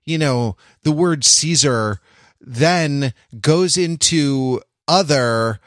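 A man co-hosting a podcast in casual discussion, halting and often interrupting himself as he works through a thought. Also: fairly high pitch, fairly bright tone, no echo, studio quality. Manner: rambling, halting, self-interrupting. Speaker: podcast co-host.

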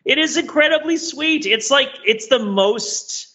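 A middle-aged American man with a bright, forward voice, co-hosting a casual podcast. He rambles through his points like an eager storyteller, and the audio is a little dull. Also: very high-pitched, expressive, a little slow. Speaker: podcast co-host.

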